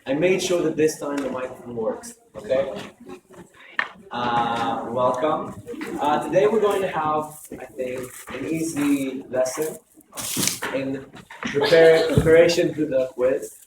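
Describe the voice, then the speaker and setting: A hoarse male lecturer teaching a class, repeating key points and often checking in with "okay?". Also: fairly high pitch, slow pace. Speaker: university lecturer. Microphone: close microphone. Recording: echoey classroom from far off, noisy.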